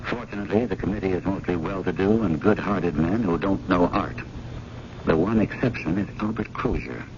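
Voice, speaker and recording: A man's voice: low voice, moderate pace, natural voice. A man playing a harried, wry, fretful museum curator in a radio drama, politely exasperated. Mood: weary, wry. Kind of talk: 1980 radio drama acting with first-person narration. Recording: dull, noisy old radio broadcast.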